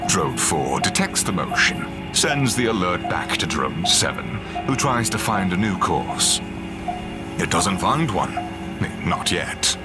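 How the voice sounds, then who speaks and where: Very deep-voiced dramatic male narrator. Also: very animated, takes it slowly, loud music behind the voice. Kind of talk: dramatic scripted sci-fi audio drama narration.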